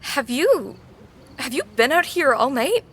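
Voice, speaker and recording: A caring, gently teasing young woman in an audio drama. Her voice is on the high side, very expressive and unhurried. She probes haltingly, with naturalistic phrasing. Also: a little hiss or hum, heavily acted. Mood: concerned, teasing.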